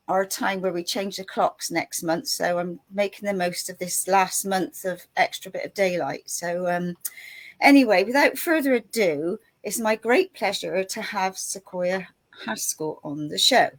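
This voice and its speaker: A middle-aged woman hosting an interview show, bubbly and chatty, often laughing. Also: medium pitch. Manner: bubbly, laughing, warm greetings. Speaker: host. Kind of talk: casual interview talk.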